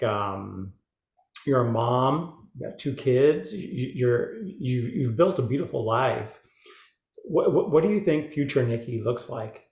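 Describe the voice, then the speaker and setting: A man's voice: mid-range voice, a little mellow. Stammering man in a dull, echoey room. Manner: stammering, repeats words, lots of "um". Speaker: audience guest. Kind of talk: casual question on a video call. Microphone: video call.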